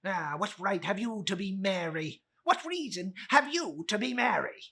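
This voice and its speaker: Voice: mid-range voice, lively intonation, normal speed, creaky, bright, forceful tone, breathy edge, exaggerated voice. A performer voicing a bitter, miserly old uncle with a scornful, grumbling, emphatic delivery in a dramatic story reading. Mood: scornful, irritable.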